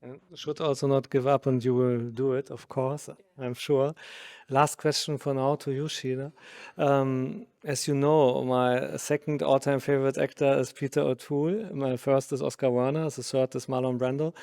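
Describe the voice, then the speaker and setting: Even-toned German-accented male interviewer. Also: mid-range voice, no echo, studio quality. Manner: halting, non-native phrasing. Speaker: interviewer, magazine journalist. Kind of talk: interview questions.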